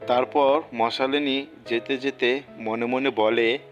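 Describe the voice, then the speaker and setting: A man's voice: medium pitch, steady tone, takes it slowly, muffled recording. A man narrating a cartoon folk tale as a scripted storyteller. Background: music.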